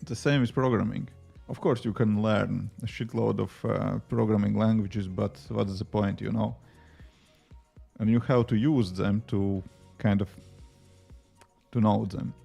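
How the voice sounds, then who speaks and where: A man's voice: lowish voice, steady tone, unhurried, vocal fry, dark tone, slightly rough, faint music behind. A man with a Latvian accent, a native Latvian speaker, chatting casually on a live coding stream, answering viewer questions between tasks with many fillers. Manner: rambling, answers chat questions while working, many fillers. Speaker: livestreaming app developer.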